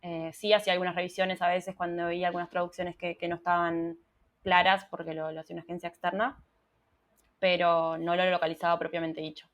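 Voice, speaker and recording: A woman's voice: lowish voice, level tone, brisk, a bit bright. A young Argentine woman, a UX writer, answering interview questions in a casual, thoughtful way with many restarts. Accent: Argentine Spanish.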